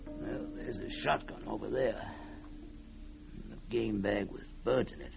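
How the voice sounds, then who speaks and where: A man's voice: lowish voice, fairly even intonation, measured pace, creaky, music in the background. An actor playing a laconic, plain-spoken, folksy male investigator in an old-time radio mystery. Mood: matter-of-fact, grim.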